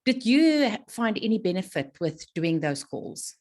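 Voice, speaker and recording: A woman's voice: medium pitch, big pitch swings, unhurried, a little mellow. A woman in her fifties hosting an interview, encouraging her guest and asking long questions. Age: 50s. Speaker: host, business coach. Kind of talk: interview chat.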